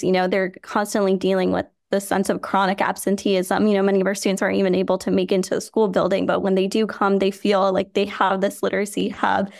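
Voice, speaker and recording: A woman's voice: medium pitch, creaky, no echo, clean studio sound. A woman from Chicago who grew up speaking Hindi and Gujarati, answering earnestly as a nonprofit founder in a podcast interview, with frequent "you know" fillers. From Chicago, USA.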